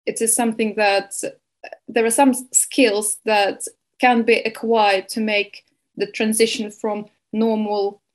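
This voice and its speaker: A woman from Estonia, a young nutrition coach, speaks slowly over a video call. She hesitates often, filling gaps with ums and starting sentences over. Her pitch sits in the middle of the range. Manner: hesitant, many fillers and restarts. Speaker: keto coach and nutrition advisor. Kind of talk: webinar interview.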